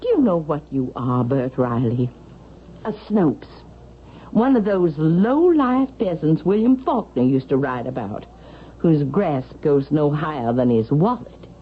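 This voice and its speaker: An actress playing a cantankerous, snobbish older matriarch in theatrical vintage radio drama style. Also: female voice, low voice, sing-song, a little slow, narrow, tinny audio. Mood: scornful, imperious. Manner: haughty, theatrical. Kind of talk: 1970s-80s radio drama acting. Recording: thin band-limited vintage radio, hissy.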